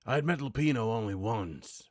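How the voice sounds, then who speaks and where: A man's voice: mid-range voice, lively intonation, moderate pace, no room sound. A man practicing noir-style narration and musing to himself in a dry manner while playing a game. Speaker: video creator practicing a character voice.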